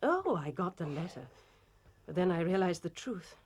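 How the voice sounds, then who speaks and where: A woman's voice: fairly low pitch, very animated, moderate pace, darkish tone, breathy edge, heavily acted. An actress playing an anguished, proud titled Victorian lady in a period drama, formal and emotionally intense. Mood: anguished remorse.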